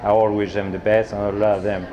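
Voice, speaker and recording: A man in his sixties with a French accent, a chef reminiscing haltingly in a documentary interview. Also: fairly low pitch, flat delivery, lo-fi sound, a crowd in the background. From France. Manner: halting, warm reminiscing with many fillers. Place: restaurant patio outdoors. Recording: noisy outdoor street ambience.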